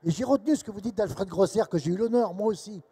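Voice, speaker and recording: A man conducting a literary interview, quoting from a book in wordy, deliberate phrasing with frequent interjected tags. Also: high-pitched, mellow, husky. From provincial France.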